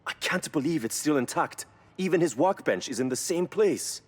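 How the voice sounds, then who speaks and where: Husky, expressive male mechanic. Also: on the high side, normal speed. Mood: awed, nostalgic. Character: Yuji, earnest, reverent male car mechanic. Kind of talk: video game cutscene voice acting.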